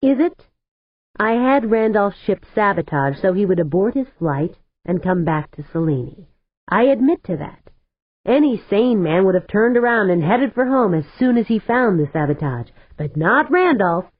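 A woman's voice: mid-range voice, very animated, moderate pace, no room sound, voice pushed up. A woman reading a smug, scornful tycoon. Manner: sneering, dismissive. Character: smug ruthless male tycoon, scheming and mocking. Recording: tinny phone-like sound.

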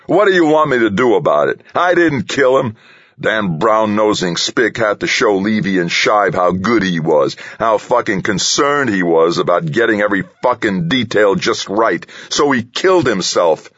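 A man's voice: deep voice, very animated, normal speed, gravelly, a bit bright, husky, pitched above the performer's own voice, heavily acted. A man voicing a big, hot-tempered, foul-mouthed bully of an engineer in an audiobook, belligerent and roaring. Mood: roaring defiance. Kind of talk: audiobook character voice. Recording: slightly dull, roomy recording.

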